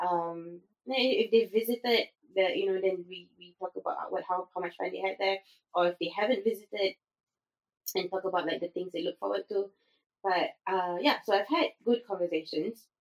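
Chatty guest, woman from Singapore, lively and loose, lots of fillers, mid-range voice. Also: medium pitch, moderate pace, low-quality recording. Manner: animated, many fillers and restarts, laughs often. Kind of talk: casual interview chat.

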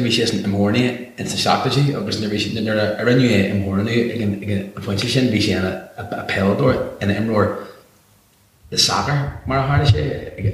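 An Irish man talking as a podcast guest, animated and quick, swearing casually. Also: lowish voice. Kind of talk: casual interview chat. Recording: echoey, distant, noisy.

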